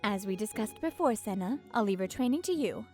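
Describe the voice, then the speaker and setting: A woman's voice: medium pitch, animated, a little fast, mellow, faint music behind, close to an everyday voice. A woman voicing a kind, encouraging café manager in a manga story. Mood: businesslike. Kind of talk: manga story character acting.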